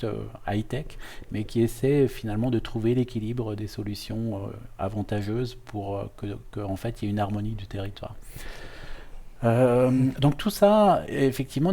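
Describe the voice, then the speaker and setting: A man's voice: medium pitch, warm tone. A man hosting an educational interview in a conversational way, searching for his phrasing as he goes with frequent restarts. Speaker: host, university professor interviewing a guest.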